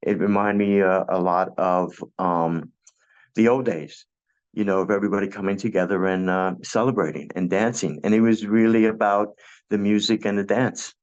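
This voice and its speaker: A man's voice: fairly low pitch, little pitch movement, moderate pace, lo-fi sound. A man in his sixties, born in Puerto Rico and raised in Brooklyn, talking casually in an interview with many fillers and restarts. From born in Puerto Rico, raised in Brooklyn, New York. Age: 60s. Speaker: guest DJ.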